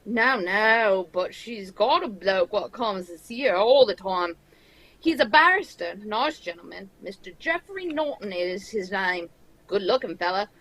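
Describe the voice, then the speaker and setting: A woman's voice: medium pitch, lively intonation, a little slow, fairly bright tone, low-quality recording. A woman voicing a friendly, gossipy male tavern keeper with a Cockney accent in a radio drama. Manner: folksy, gossipy, chummy. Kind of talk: old-time radio drama script read live.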